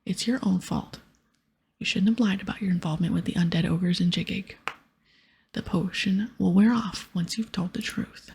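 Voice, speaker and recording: A woman voicing a stern fantasy-game adventurer in a breathy, firm whisper. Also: on the low side, moderate pace, darkish tone, slight background noise. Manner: firm, accusing. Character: stern adventurer questioning and scolding a wrongdoer.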